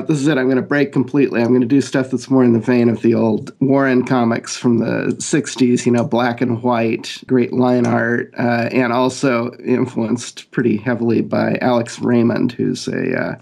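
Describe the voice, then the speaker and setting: A man's voice: mid-range voice, creaky, darkish tone. A man speaking as a guest artist in a casual panel interview, explaining things conversationally. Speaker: comic book artist, guest.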